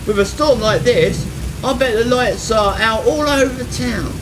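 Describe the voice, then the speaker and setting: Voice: medium pitch, moderate pace, background noise, natural voice. An amateur actor, male, playing an angry teenage boy trapped in a haunted house in a radio play. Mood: planning.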